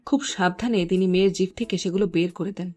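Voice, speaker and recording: A woman's voice: lowish voice, fast talker, darkish tone, slightly rough. A woman reading scripted story narration as the narrator.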